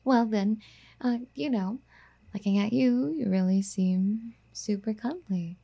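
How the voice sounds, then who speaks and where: A woman playing a doting, possessive rich girlfriend in an ASMR roleplay, soft-spoken and coaxing, often slipping into whispers. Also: medium pitch, a little slow, gravelly. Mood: coaxing, eager.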